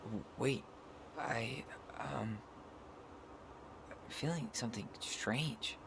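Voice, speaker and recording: A gentle, sentimental young American man in a video game. He talks casually and earnestly at a middling pitch. There is clear noise and ambience behind him. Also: very slow. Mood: uneasy, amazed. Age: young adult. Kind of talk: naturalistic video game cutscene acting. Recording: noisy game stream capture with ambience.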